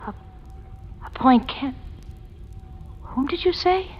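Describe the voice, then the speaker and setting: Noir sweetheart, very expressive swoops. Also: female voice, high voice, slow, faint music behind. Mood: shocked, incredulous. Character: crook's sultry, pleading, proud sweetheart in noir drama. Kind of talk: 1940s-50s radio noir acting. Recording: dull, noisy old radio recording.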